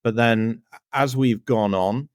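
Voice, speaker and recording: A man's voice: medium pitch, slow, dry recording, clean studio sound. A man from North Wales with a Welsh accent hosting a podcast, asking questions in a chatty way and adding loose asides. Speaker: podcast host. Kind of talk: conversational podcast interview.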